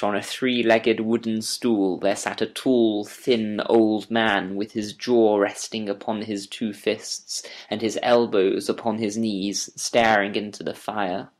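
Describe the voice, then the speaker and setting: A man narrating a first-person audiobook story with measured, literary, deliberate phrasing. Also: lowish voice. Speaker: audiobook narrator reading as Doctor Watson.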